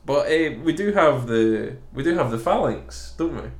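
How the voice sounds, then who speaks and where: A man's voice: a little high, expressive, moderate pace. Jokey man in an echoey room. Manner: jokey, laughs often. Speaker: podcast co-host. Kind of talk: casual film commentary chat.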